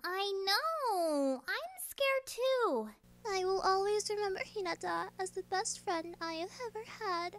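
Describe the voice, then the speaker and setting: A woman's voice: extremely high pitch, animated, a little slow, fairly bright tone, full character voice. A woman voicing a possessive, volatile teenage girl in an anime parody, blunt and childish, sweetly menacing. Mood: feigned innocence. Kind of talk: anime parody dub acting.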